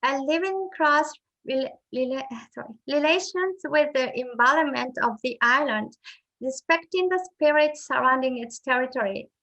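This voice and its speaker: A woman reads a prepared talk for an online conference in a high, bright voice. Her delivery is careful and halting, and she stops to correct slipped words. She speaks over a video call microphone. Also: dull audio.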